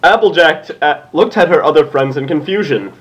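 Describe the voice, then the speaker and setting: A man's voice: fairly high pitch, fairly quick, muffled recording. A man doing an informal comic read-aloud, giggly and often stumbling over the text. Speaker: fan fiction co-reader.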